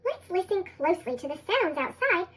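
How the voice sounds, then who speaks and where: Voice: very high-pitched, very animated, normal speed, sharp tone, full character voice. A narrator voicing a kindly, encouraging mother llama in a storybook, through a sped-up chipmunk effect. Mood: kindly, reassuring.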